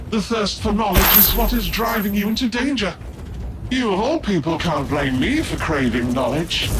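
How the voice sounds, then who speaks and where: An actor playing an obsessive, self-justifying renegade tech-priest scientist in a sci-fi video game, with formal, theatrical speech. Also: male voice, high-pitched, low-quality recording. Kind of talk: video game dramatic acting. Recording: loud music over the voice.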